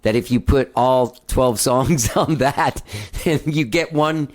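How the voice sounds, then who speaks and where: A man with a high voice, hosting a loose, rambling interview full of "like" and swearing. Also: takes it slowly. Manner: rambling, swears freely, many "like" fillers. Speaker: podcast host. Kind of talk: casual interview chat.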